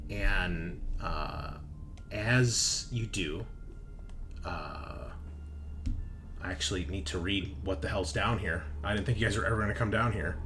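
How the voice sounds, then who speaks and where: A man running a tabletop game, narrating casually and informally, often halting as he thinks aloud. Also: low voice, noisy background. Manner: halting, thinking aloud as map details are checked. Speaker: game master.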